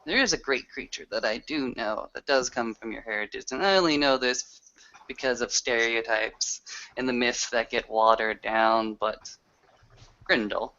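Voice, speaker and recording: A man with a bright, clear voice of middle pitch improvises in character at a roleplaying session. He feels his way through the exposition haltingly. The recording is slightly dull and lo-fi. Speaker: tabletop roleplay player. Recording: dull lo-fi audio.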